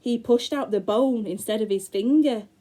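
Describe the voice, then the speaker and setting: A woman teacher reading a fairy tale aloud to young children, slow and dramatic with gasps. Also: a little high, mellow. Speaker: primary school teacher.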